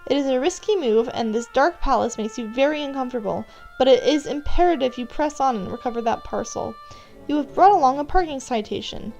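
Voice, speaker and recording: A woman's voice: sits high, lively intonation, a little fast, gravelly, darkish tone, lo-fi sound. A woman reading scripted second-person story narration, addressing the listener as the character. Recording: music playing behind. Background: background music.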